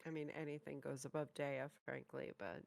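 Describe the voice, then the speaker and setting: A woman's voice: a bass voice, little pitch movement, moderate pace, gravelly, a little mellow, no echo. A woman co-hosting a reaction video, giving her rankings of game characters in casual chat. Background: faint music.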